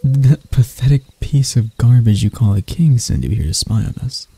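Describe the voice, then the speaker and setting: A man playing a husky, dark-voiced proud elf royal. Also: mid-range voice, moderate pace, no room sound. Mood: scornful, taunting. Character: proud, mocking elf royal interrogating an enemy knight. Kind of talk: ASMR roleplay monologue.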